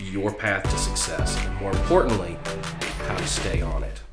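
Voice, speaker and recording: A man's voice: low voice, normal speed, loud music behind the voice. Man vlogging in a roomy space. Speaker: vlog host, music business mentor. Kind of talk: motivational advice vlog to camera. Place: small room at home with posters, indoors.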